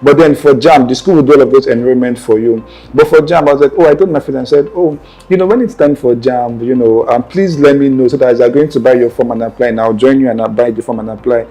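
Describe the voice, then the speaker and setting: A man's voice: mid-range voice, fairly even intonation, fast, few pauses, mellow, rough recording. A young man with a Nigerian accent, telling his life story as an interview guest in an earnest, meandering way that often circles back to correct himself. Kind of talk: personal storytelling in conversation.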